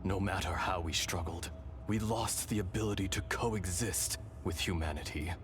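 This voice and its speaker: A man voicing the calm male remnant spirit of an ancient dragon, telling his tale slowly and solemnly, often half-whispered, in a video game. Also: low-pitched, faint music behind, close to an everyday voice. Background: loud game score.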